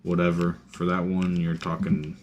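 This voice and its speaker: A man's voice: low voice, flat delivery, moderate pace, a little hiss or hum. A young man streaming a game, chatting casually and thinking aloud with fillers and restarts. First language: English. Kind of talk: casual gaming stream chat. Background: faint music and keyboard typing.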